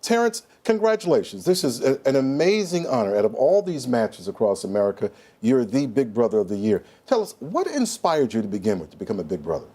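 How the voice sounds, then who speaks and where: A man's voice: mid-range voice, big pitch swings, fairly quick, dark tone. A male presenter who reads a scripted introduction and then interviews guests in an upbeat way.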